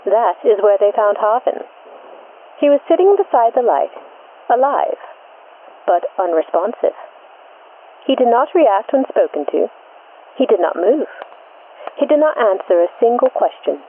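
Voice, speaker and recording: A woman narrating a scripted mystery in 1940s radio style, measured and deliberate, through an old-time radio filter. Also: a little high, sing-song. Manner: measured, deliberate, short suspenseful phrases. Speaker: host and narrator of a mystery show. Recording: tinny band-limited vintage radio, static noise.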